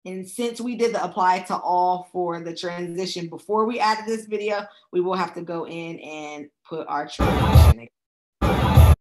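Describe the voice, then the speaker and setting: A chatty woman walks through a tutorial at an easy pace. Her voice sits a little low and has a slight rasp. She keeps checking in with her listeners as she goes. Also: moderate pace, a little mellow, faint music behind. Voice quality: raspy. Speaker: travel agent teaching video making. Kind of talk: screen-recorded tutorial walkthrough.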